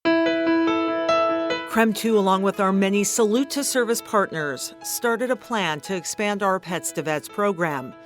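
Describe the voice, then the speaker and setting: A woman reading scripted promo narration in a clear announcer style. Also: medium pitch, slow pace, over music.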